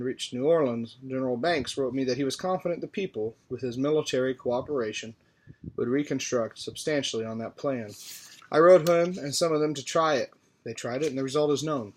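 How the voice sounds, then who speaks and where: Male, mid-range pitch, formal oratory, read from a text, measured and deliberate phrasing. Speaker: reader of a historical speech.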